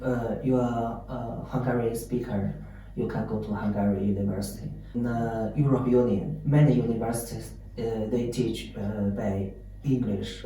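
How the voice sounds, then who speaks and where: A host asks questions in English haltingly, with frequent "uh" pauses. The voice is very dark in tone. It sounds far from the mic in a strongly echoing room. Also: mid-range voice, slight background noise. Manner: hesitant, many "uh" pauses. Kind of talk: interviewer asking questions in English. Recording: echoey room, mic at a distance.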